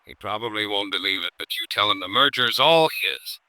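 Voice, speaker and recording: A man's voice: on the low side, animated, a little fast, sharp tone, dry recording, rough recording. An American actor playing a troubled, resolute husband who is walking away from his life, in an intense theatrical 1950s radio drama style. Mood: weary, resolute.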